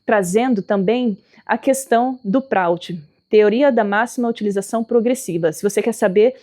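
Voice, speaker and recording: A woman's voice: medium pitch, moderate pace, dark tone. A woman teaching about spirituality and politics in an earnest, explanatory manner, stressing her key words and speaking as someone whose first language is not Portuguese. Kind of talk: spoken talk on spirituality and politics.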